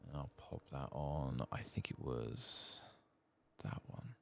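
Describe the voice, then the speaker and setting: A man giving soft-spoken ASMR commentary on a game, sometimes dropping into a whisper. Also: extremely low pitch, slow, creaky, soft background music. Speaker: gaming ASMR streamer. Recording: faint keyboard and clicking noise.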